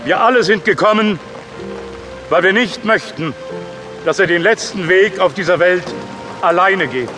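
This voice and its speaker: Funeral pastor, high male voice, solemn, ceremonial, measured, audio drama, music underneath. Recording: rain, thunder and music behind.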